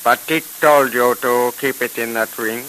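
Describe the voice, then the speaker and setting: An actor playing a solemn male cult emissary with deliberate, formal, stilted phrasing in a 1930s radio serial. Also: medium pitch, steady tone, bright, forceful tone, rough recording. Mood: suspicious, insistent. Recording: hissy 1930s radio broadcast recording.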